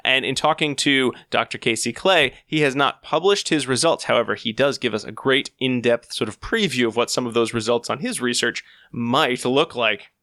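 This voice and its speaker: A man's voice: mid-range voice, moderate pace, few pauses, bright, forceful tone, no room sound, studio quality. An American man hosting a podcast interview in a playful, upbeat way. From United States. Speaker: podcast host.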